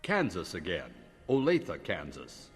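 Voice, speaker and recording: A man narrating an old-time radio drama as announcer and formal interviewer, measured and dramatic. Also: low voice, wildly swooping pitch, soft background music, exaggerated voice. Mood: measured, inquiring.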